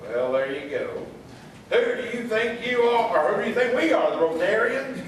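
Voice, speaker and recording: A man's voice: high voice, sing-song, normal speed. A man playing a pompous, dim lodge leader with a ceremonious, dismissive manner in a comic radio play. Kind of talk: comic radio theatre acting. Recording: echoey hall, distant mic, faint noise.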